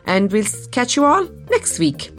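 An Irish woman with a deep voice, co-hosting a podcast in a chatty, colloquial and wryly self-deprecating way. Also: sing-song, unhurried, faint music behind. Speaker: podcast co-host. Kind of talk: casual chat.

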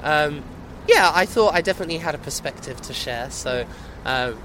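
A playful young drag performer answering questions on a street, talking in a loose, chatty way with plenty of fillers. His voice sits a little high for a man. Clear traffic and street noise run behind him outdoors. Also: expressive, moderate pace, fairly bright tone, low-quality recording. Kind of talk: casual street interview.

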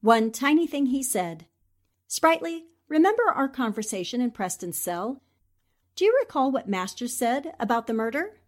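An actress playing a sharp, confident newspaperwoman sleuth in a 1940s-style radio drama, explaining in a deliberate, declamatory way. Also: fairly high pitch, sing-song.

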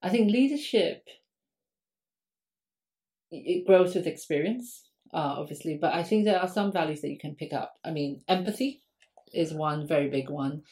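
A woman's voice: deep voice, normal speed, long pauses, darkish tone. A woman from Singapore, an academic guest on a podcast, answering questions conversationally with frequent ums.

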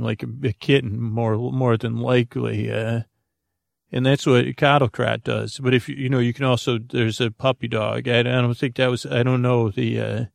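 A man hosting a sleep podcast, rambling in a halting, meandering, goofy way with many tangents and false starts. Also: mid-range voice, gravelly, no room sound, studio quality. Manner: goofy, whimsical. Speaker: podcast host.

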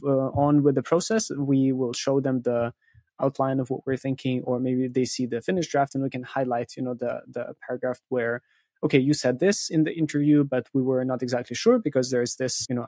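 A man's voice: medium pitch, dark tone, dry recording. A man speaking as an interview guest, explaining things step by step in conversation and often checking in with "right?" and "you know". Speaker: interview guest, content writer who interviews experts.

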